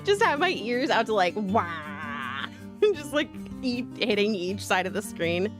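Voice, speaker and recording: A woman's voice: medium pitch, sing-song, a little slow. A woman livestreamer rambling casually to her viewers in a playful, goofy way, full of slang and silly exclamations. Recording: music playing behind the voice.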